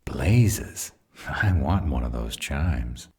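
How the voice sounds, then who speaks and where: A man's voice: rumbling low, animated, extremely slow, a little mellow, breathy edge, no room sound, studio quality, heavily acted. A man playing a grumbly, smitten, easily distracted were-dragon in a fantasy audio roleplay, grumbling in conversational asides. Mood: curious, playful.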